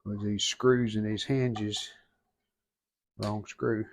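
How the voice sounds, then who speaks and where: A man's voice: fairly low pitch, little pitch movement, slow pace. A man talking casually through hands-on restoration work, in short, plain-spoken remarks as he goes. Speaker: vlogger restoring an antique radio. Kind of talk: casual hands-on workshop narration.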